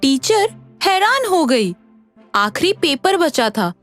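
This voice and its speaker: A woman reading scripted narration for an animated children's story. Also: very high voice, slow, faint music behind.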